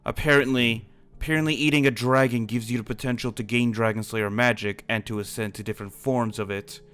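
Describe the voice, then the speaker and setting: A man's voice: mid-range voice, steady tone, brisk, a bit bright, clear, resonant tone, lightly acted. A man playing an earnest dragon slayer in an improvised fantasy game roleplay, explaining things in a serious way. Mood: earnest, grave.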